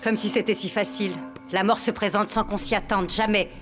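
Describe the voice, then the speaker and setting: Female actor as doting wife in a dubbed melodrama, mid-pitched, sweetly fussing while fatalistic about death. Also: fairly even intonation, fairly quick, faint music behind, lightly acted. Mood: ominous.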